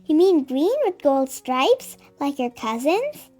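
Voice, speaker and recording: A woman's voice: high voice, sing-song, slow pace, a little mellow, faint music behind, exaggerated voice. A woman voicing a small, friendly striped fish in a storybook, helpful and questioning.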